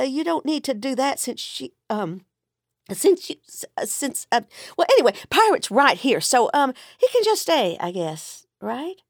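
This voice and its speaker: A woman's voice: high voice, no echo, clean studio sound, pitched above the performer's own voice, heavily acted. A woman voicing a flustered middle-aged mother who stammers and rambles, tripping over her words in embarrassment.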